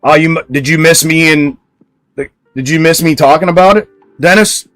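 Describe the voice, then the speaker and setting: A man with a fairly high voice hosting a live trading stream. He talks loudly and bluntly and answers his viewers' comments straight back. The sound is dry and close, with faint music behind him. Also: moderate pace, no echo, rough recording. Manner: loud speaking voice. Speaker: stock trading livestream host. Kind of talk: casual livestream commentary.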